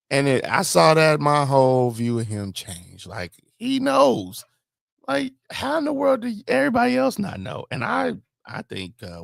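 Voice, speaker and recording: A man's voice: medium pitch, creaky, no room sound, clean studio sound. An American man co-hosting a sports podcast, talking casually and emphatically about football with strong opinions, repeating phrases to drive them home.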